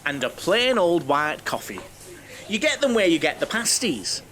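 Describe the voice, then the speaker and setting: Lonely naive guy, high swoopy voice, rambling and oversharing, audio play. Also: very animated, moderate pace, fairly bright tone, a little hiss or hum. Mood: chatty, nosy. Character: chatty, naive, lonely middle-aged bachelor, mother-obsessed coach passenger. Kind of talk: audio drama comedy acting.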